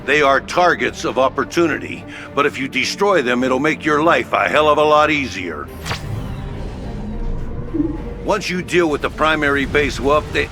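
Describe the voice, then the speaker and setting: An actor playing a gruff, brisk headquarters officer giving curt, commanding orders in a video game. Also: male voice, medium pitch, expressive, lightly acted. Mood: businesslike. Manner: curt, commanding, matter-of-fact. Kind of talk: video game mission control acting. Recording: loud music and engine noise behind.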